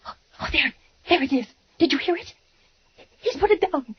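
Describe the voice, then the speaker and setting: A frantic, terrified woman on the edge of a scream in a 1940s radio thriller. Her very high voice leaps wildly as she begs and pleads. The old recording sounds dull and muffled. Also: animated, moderate pace. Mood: panicked. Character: terrified bedridden woman facing a killer.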